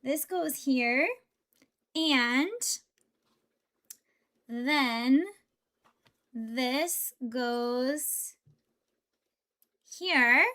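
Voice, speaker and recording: A woman's voice: high-pitched, very slow, long pauses, pushed and bright. A young woman streamer chatting casually while building Lego, thinking aloud and answering her chat. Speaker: VTuber streamer.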